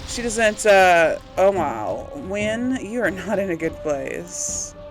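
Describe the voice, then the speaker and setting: A woman gives casual game commentary, chatty and folksy as she thinks aloud. Her pitch swings widely at an unhurried pace, with a slight tickle in her throat and faint music behind. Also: big pitch swings. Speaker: gaming video host. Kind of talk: casual let's play commentary.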